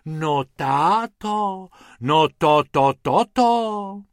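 A man's voice: a little high, animated, clean tone, no echo, studio quality, natural voice. A man voicing an awestruck, sighing married woman on holiday in a children's story, stammering and repeating herself. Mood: awed sighing. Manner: stammering, drawn-out repetition.

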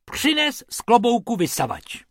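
A man voicing a cheeky young male rabbit in a children's story, talking high, loud and excited. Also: dry recording, clean studio sound. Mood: eager.

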